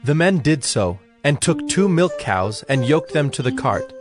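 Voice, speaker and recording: Measured male scripture narrator over music. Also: mid-range voice, big pitch swings.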